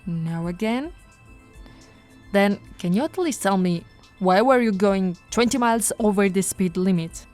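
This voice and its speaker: A woman's voice: medium pitch, expressive, measured pace, a little mellow, soft background music, lightly acted. A woman voicing a flirty but firm policewoman in an ASMR roleplay, with a teasing, coaxing, authoritative manner. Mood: calm, professional. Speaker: voice actor in roleplay audio.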